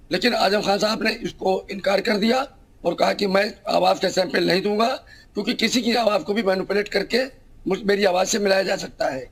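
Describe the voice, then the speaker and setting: Husky high-pitched male lawyer. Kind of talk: interview explanation of a court case.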